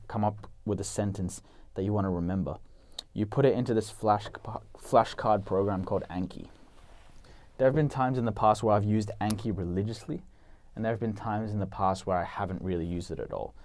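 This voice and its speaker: A man's voice: on the low side, dark tone, dry recording. A young man giving casual, earnest advice on learning languages, with lots of "like" and "you know" fillers.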